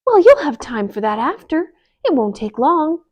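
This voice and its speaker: A woman's voice: sits high, sing-song, moderate pace, a little mellow, pitched above the performer's own voice. A woman voicing a cheerful, hardworking mother hen who encourages others to help. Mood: encouraging. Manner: upbeat, encouraging.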